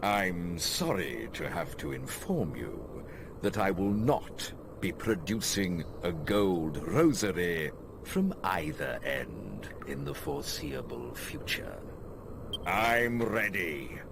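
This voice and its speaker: A man's voice: low voice, very animated, vocal fry, background noise, heavily acted. An actor playing a pleading, devout old male prisoner in a video game, speaking in a formal, theatrical way. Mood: calm, resigned. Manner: theatrical, formal, drawn-out delivery. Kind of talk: dramatic video game cutscene acting.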